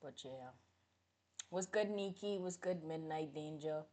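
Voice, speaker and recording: Outspoken woman with a low voice. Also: measured pace. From Bahamas. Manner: animated, outspoken, jumps between loud outbursts and low mutters. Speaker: livestreamer. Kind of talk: casual livestream chat, greeting viewers.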